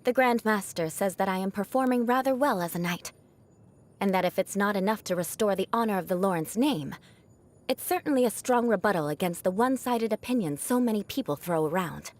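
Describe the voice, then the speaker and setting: A woman's voice: mid-range voice, animated, a little fast, lightly acted. A young woman voicing a proud, haughty noblewoman knight in a video game, an outcast bent on revenge, with formal, dramatic diction. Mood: proud, defiant. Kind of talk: video game character voice lines.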